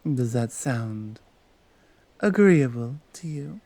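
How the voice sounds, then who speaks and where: A dutiful valkyrie played by a woman speaks in an ASMR roleplay. The delivery is slow and matter-of-fact, with animated intonation and a gently commanding manner. The recording is very dry and close. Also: rumbling low, no room sound, full character voice. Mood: coaxing, teasing. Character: dominant, dutiful valkyrie woman guiding fallen warriors. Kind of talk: ASMR roleplay acting, one-sided dialogue.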